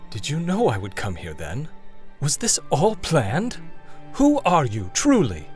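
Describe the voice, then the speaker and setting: A man's voice: fairly high pitch, huge pitch swings, a little slow, darkish tone, soft background music. A man playing a proud, defensive blood elf archmage in an audio drama, haughty and formal in speech. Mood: defiant.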